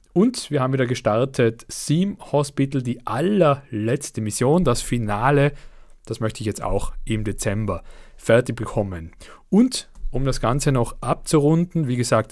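A man of middle pitch talks casually to his viewers from a home setup. His voice sounds slightly worn from a cold. He chats on with fillers and a pace that speeds up and slows down. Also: a little mellow. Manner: chatty, uneven pace with fillers. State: slightly under the weather. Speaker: let's play channel host. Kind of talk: casual monthly update to viewers.

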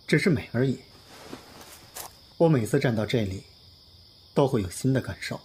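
A man playing a confident, teasing film star with a playful, expressive manner in an audio drama. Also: medium pitch, normal speed, a little hiss or hum, natural voice. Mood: reflective. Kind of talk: Chinese audio drama acting.

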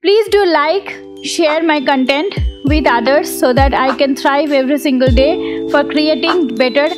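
A woman's voice: high-pitched, a little slow, over music. A woman presenting an online tutorial in a polite, earnest way. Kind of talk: tutorial narration and channel appeal.

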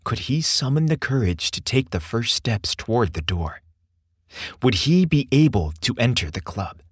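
Expressive male storyteller with lively pitch. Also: moderate pace, slightly rough. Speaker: podcast host and narrator. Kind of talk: scripted story narration, romantic audio tale.

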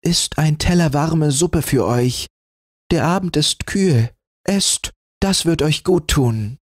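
A man voicing a kind, humble young girl in a fairy tale, speaking gently and modestly. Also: medium pitch, steady tone, slow, no echo, studio quality, voice pushed up. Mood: gentle.

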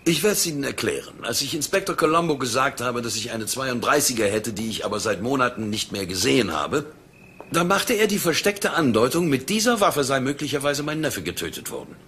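An actor playing a smooth, haughty, wealthy villain in a German crime radio play, speaking formally and with condescension. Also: male voice, mid-range voice, sing-song, brisk, sharp tone, a little hiss or hum. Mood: indignant, formal.

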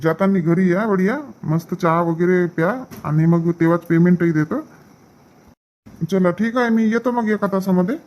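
A man's voice: high-pitched, normal speed, lo-fi sound, close to an everyday voice. A man voicing easygoing village farm men in a cartoon comedy.

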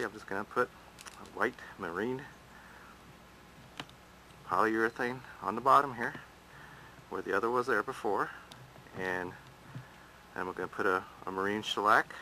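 Low gravelly man, plain folksy talk. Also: moderate pace, pauses often, pushed and bright, a little hiss or hum, rough recording. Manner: plain-spoken, folksy, practical. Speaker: hobby boat restorer. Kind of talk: casual narration over his own camera footage. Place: outdoors in a backyard.

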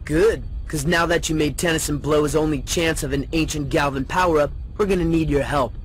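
A man voices a gruff American teenage sidekick for a video game. He is sarcastic and tough, with faint music behind him. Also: a little high, steady tone, a little fast, lightly acted. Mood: gruff, annoyed. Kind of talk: animated game dialogue.

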